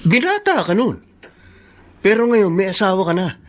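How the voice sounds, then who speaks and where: A man's voice: high-pitched, very animated, moderate pace. An actor with a Filipino accent playing a frank, sensible male friend in a radio drama. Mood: disapproving, advising. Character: frank, sensible male buddy giving firm advice.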